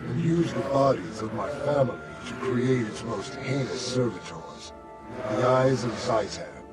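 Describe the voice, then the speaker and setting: A man plays a solemn ghost king freed from a curse, grateful and formal. He speaks with slow, regal weight over loud orchestral game music. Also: mid-range voice, expressive, lightly acted.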